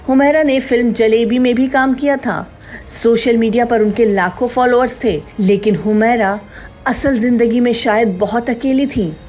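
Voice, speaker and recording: A woman's voice: on the high side, darkish tone. A woman reading a scripted, dramatic news narration. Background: background music.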